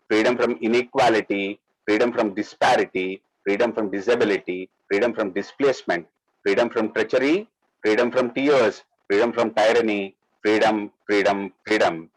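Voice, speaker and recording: A man's voice: mid-range voice, husky, low-quality recording. Indian man solemnly reciting free verse. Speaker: poet.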